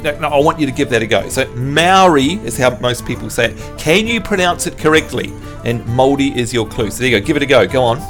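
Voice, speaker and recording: A man's voice: medium pitch, lively intonation, fairly quick, a bit bright, faint music behind. A man from New Zealand chatting casually with his live-stream viewers, playful and teasing as he quizzes them. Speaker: game streamer.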